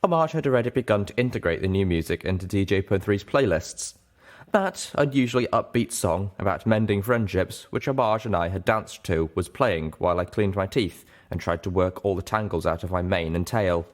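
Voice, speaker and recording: A man narrating an audiobook as an earnest, self-doubting young mare, in a measured, deliberate way. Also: low-pitched, lightly acted. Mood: reflective. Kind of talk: scripted first-person audiobook narration.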